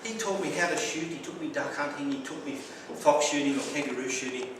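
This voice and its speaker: An Australian man with a fairly high voice tells stories from his childhood with dry humour, sometimes tripping over his words. He stands well back from the microphone, so his voice rings around a very echoey room. Clear background noise runs under everything he says. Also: fairly quick, far from the mic. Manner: anecdotal, wry humour, occasional stumbling repeats. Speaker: club speaker. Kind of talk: prepared personal speech.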